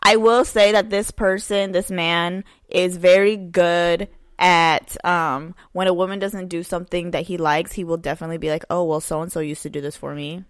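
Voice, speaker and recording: Lower-voiced young woman telling stories. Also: moderate pace, few pauses, no room sound, studio quality. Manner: animated, lots of "like", acts out quotes. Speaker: podcast co-host. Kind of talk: casual storytelling chat.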